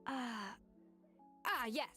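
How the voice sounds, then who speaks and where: Proud, higher-pitched young master chef. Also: female voice, drawn-out words, pushed and bright, soft background music, heavily acted. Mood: reflective, composed. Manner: confident, articulate, instructive. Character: proud, exacting young female master chef, self-assured mentor. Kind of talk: video game voice acting.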